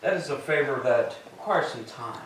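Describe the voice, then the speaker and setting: A man's voice: medium pitch, animated, moderate pace, close to an everyday voice. A man playing a vengeful, commanding ancient Greek king and wronged husband in a staged tragedy reading, declaiming imperiously. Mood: grudging. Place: church, live staged reading. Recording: echoey church, distant mic, faint noise.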